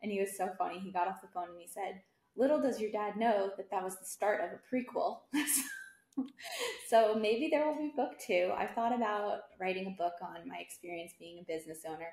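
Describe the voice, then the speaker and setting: A woman's voice: medium pitch, fairly quick, a little mellow. Woman guest in an echoey room. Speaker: podcast guest, dental services executive. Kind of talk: casual interview chat. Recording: echoey, roomy sound.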